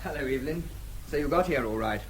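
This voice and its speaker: An actor plays a dutiful British diplomat in a radio drama. His medium-pitched voice is formal and measured, with an English accent. There is light noise behind the recording. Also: expressive, lightly acted. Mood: resigned, firm. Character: courteous, dutiful British diplomat, the heroine's brother.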